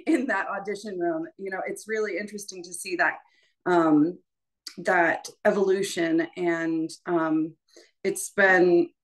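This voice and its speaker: A woman's voice: lowish voice, rough recording. An American woman speaking casually as a voice teacher presenting a workshop on a video call. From New Hampshire, United States.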